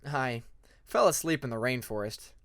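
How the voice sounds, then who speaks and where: A man's voice: medium pitch, animated, moderate pace, fairly bright tone, voice pushed down. A man voicing a polite, modest young male dragon student in a fan fiction audiobook. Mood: polite, reassuring.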